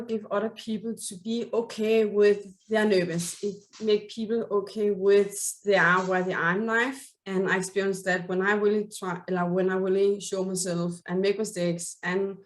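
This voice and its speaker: A woman's voice: mid-range voice, low-quality recording. A woman from Denmark with a Danish accent, speaking English as a second language, hosting an interview and often searching for words. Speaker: interview host. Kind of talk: casual interview.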